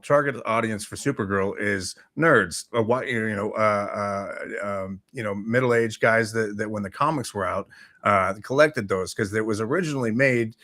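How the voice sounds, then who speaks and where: A man's voice: lowish voice, a bit bright. A man chatting casually as a talk show host, rambling and hesitant, often repeating his words. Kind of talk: casual chat.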